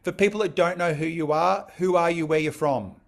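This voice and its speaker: An Australian man hosting a podcast, asking relaxed, friendly questions in a casual chat. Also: on the high side, brisk. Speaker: podcast host.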